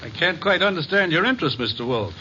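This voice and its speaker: A man's voice: on the high side, very animated, over music. An actor playing a dignified middle-aged businessman in a 1940s radio drama, polite and formal, on a dull old recording. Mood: polite, puzzled. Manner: formal, measured.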